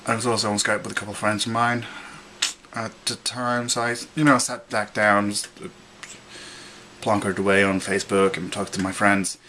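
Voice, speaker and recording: A man's voice: fairly low pitch, little pitch movement, normal speed, pushed and bright, slight background noise. A young man from Norway with a Norwegian accent, telling a rambling story in a casual vlog, full of fillers, restarts and chuckles. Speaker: vlogger.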